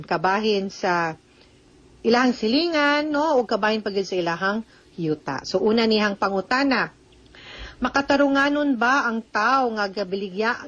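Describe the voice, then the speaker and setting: A woman's voice: medium pitch, fairly bright tone, lo-fi sound. A woman with a Cebuano Filipino accent hosts an advice program, explaining things conversationally to listeners, mixing in English terms and often checking for agreement with short tag questions. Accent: Filipino, Cebuano-speaking. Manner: mixes in English phrases and legal terms. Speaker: host giving legal advice on a radio drama letter program.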